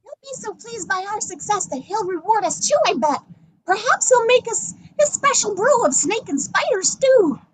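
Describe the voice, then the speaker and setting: A woman's voice: very high voice, very animated, moderate pace, dry recording, poor audio. A woman voicing an eager, sneaky child trick-or-treater henchman in a live script read. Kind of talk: live amateur table read of a film script.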